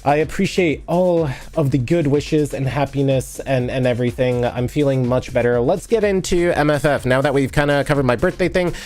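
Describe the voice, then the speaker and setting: A man's voice: mid-range voice, moderate pace, hardly any pauses, a little mellow. A man live streaming and still sniffly from a recent cold. He rambles from one topic to the next, thanks viewers and answers their comments, with faint music playing underneath. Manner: rambling, chatty, reads and answers chat. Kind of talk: casual live-stream chat while editing.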